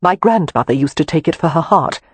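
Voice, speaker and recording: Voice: medium pitch, expressive, fairly quick, slight background noise, natural voice. A narrator voicing a practical young woman who explains things in a matter-of-fact way.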